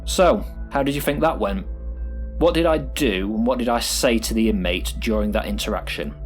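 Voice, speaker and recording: A man's voice: on the low side, normal speed, soft background music, lightly acted. A man playing a callous, officious prison supervisor in an audio drama, instructing in a matter-of-fact, clinical way. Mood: stern lecturing. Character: callous, officious male prison pod supervisor training a recruit.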